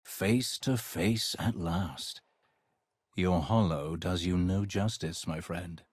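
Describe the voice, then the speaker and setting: An actor playing a stately, imposing dignitary in a video game, courtly and measured. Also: male voice, low voice, breathy edge, no room sound, full character voice. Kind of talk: video game cutscene acting.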